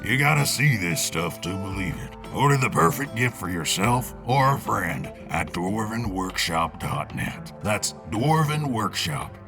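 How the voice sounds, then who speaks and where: Man, low voice, podcast ad read, promotional style, faint music behind. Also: very animated, unhurried, hardly any pauses. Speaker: podcast ad reader.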